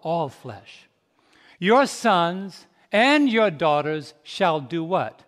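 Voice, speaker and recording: A man in his fifties with a high voice preaches to his congregation, tossing questions out for them to answer. He drops to a slow, quiet hush and then climbs into loud, ringing exhortation. His words hang in the long reverb of a large hall. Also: big pitch swings. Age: 50s. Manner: swings from soft, slow reflection to loud, rising exhortation. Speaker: pastor. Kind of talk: sermon.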